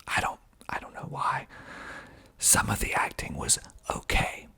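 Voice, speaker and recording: A man whispering breathily in ASMR style into a close mic, reading aloud slowly and deliberately with dry asides. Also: bright, forceful tone. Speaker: filmmaker and ASMR video host. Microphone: close mic with pop filter.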